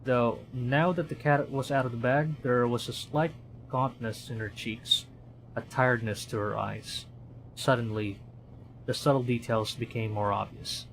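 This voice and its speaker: Male streamer, medium pitch, dramatic storytelling, reading a horror visual novel, faint background noise. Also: fairly even intonation, unhurried, low-quality recording. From Philippines.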